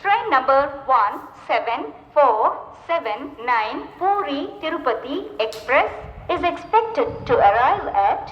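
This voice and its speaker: An Indian-accented woman making formal automated railway station announcements in a measured, stitched word-by-word delivery, over a public address system. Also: high voice, expressive. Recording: echoey station PA, muffled, faint noise.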